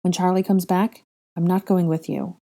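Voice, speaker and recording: Young witch heroine for an audiobook, read by a woman: desperate, sincere, urgent, mellow in tone. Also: lowish voice, level tone, moderate pace, lightly acted. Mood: sad, guarded. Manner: pleading, urgent, troubled.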